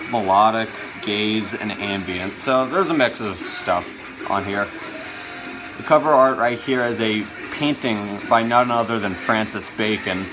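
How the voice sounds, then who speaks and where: A man's voice: lowish voice, low-quality recording. A man talking conversationally and with enthusiasm as he hosts a casual show-and-tell video. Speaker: video host showing a music collection.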